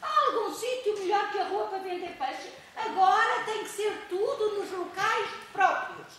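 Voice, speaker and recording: A woman's voice: extremely high pitch, animated, unhurried, fairly bright tone, full character voice. An older woman acting an old street fish seller who calls out her wares, on an amateur stage. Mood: nostalgic. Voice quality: hawker's calls. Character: old street fishwife, nostalgic and loud. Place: school auditorium stage. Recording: echoey hall from far off.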